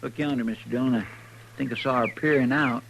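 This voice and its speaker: An actor playing an anxious, folksy deputy with a twangy Western American drawl in a 1950s radio western. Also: male voice, medium pitch, fairly even intonation, quick pace, gravelly, lightly acted. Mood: uneasy. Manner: folksy, deferential. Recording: noisy old 1950s radio recording.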